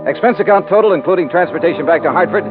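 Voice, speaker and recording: A man's voice: fairly high pitch, rapid-fire, close to an everyday voice. A male actor playing an American insurance investigator, narrating and talking in old radio detective style. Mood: wry, summing up. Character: brisk, generous male insurance investigator, narrating his case. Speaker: radio drama lead and narrator. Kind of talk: 1950s radio detective acting. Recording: narrow-band old radio, music behind.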